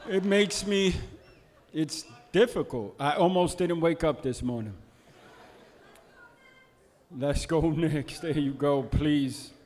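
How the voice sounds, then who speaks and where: A man's voice: on the high side, slow pace, pauses often, a little mellow, big-room sound. A man emceeing a ceremony, chatty with joking asides as he introduces the next speaker. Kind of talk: casual stage hosting.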